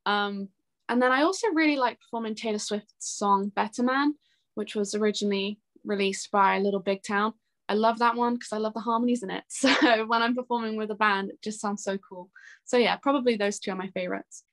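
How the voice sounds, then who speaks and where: A woman's voice: medium pitch, fairly quick, a bit bright. A woman in her twenties chatting casually in an interview, effusive and self-deprecating, with a giggly way of talking. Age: 20s. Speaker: singer-songwriter being interviewed.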